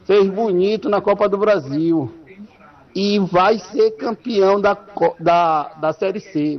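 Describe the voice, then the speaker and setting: A man's voice: high-pitched, vocal fry. A man giving his football opinion in an emphatic, assertive way. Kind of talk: confident fan opinion. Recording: muffled lo-fi audio.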